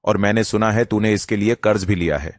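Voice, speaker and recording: A man's voice: lowish voice, brisk, no room sound, clean studio sound, lightly acted. A man voicing an earnest elder brother and anxious shopkeeper father in a cartoon. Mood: reproachful. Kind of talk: cartoon voice acting.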